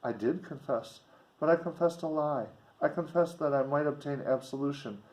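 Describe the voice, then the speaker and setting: A man's voice: a little high, moderate pace, a little mellow, pitched above the performer's own voice, lightly acted, poor audio. A man reading as a wretched condemned young woman. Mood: remorseful, desperate. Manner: pleading, anguished, old-fashioned speech. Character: wretched, pious condemned young servant woman in gothic novel.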